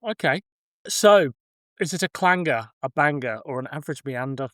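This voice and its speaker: A man's voice: on the high side, expressive, moderate pace, a bit bright, no echo. Casual man hosting, dry close sound. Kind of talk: casual film discussion.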